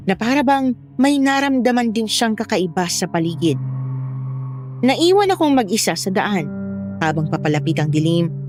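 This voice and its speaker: Female horror storyteller, medium pitch, scripted first-person narration over a clear music bed.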